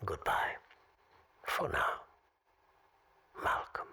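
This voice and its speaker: An actor playing a mysterious forgotten man calling a radio show in an audio drama, courteous and ominous, speaking in a measured, cryptic, whispery rasp. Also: pushed and bright, exaggerated voice. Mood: cryptic, resigned.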